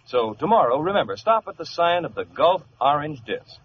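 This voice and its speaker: A man announcing and reading a sponsor's pitch in the style of 1940s radio advertising. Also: big pitch swings, moderate pace. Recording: muffled old radio, faint hiss.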